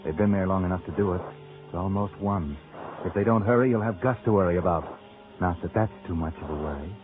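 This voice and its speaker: Low, sardonic vintage radio mystery narrator man acting. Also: fast talker, close to an everyday voice. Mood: smug, mocking. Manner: sardonic, insinuating. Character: sly, taunting narrator voicing a fugitive's thoughts. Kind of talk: 1940s radio mystery narration in second person. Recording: tinny vintage radio recording with loud music.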